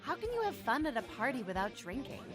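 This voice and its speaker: An actress playing a brash, sociable young student who waits tables, in a casual, pushy, mocking way for a radio drama. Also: female voice, fairly high pitch, sing-song, fast. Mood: teasing, incredulous. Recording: music behind the voice.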